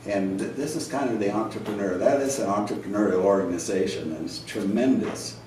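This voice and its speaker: A man with a lowish voice talks informally and haltingly to a group. He is far from the mic in a very echoey room with clear background noise. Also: darkish tone. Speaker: meetup attendee sharing announcement.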